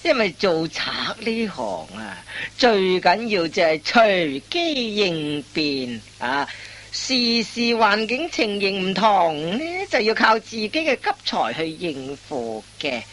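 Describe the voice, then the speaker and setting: Crafty master thief for radio comedy, unhurried lecturing, voice goes up and down a lot, lots of little interjections. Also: female voice, mid-range voice, big pitch swings, a bit bright. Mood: self-satisfied, instructive. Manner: instructive, drawn-out phrasing full of interjections. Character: wily veteran master thief schooling an apprentice. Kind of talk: Cantonese comic radio play acting.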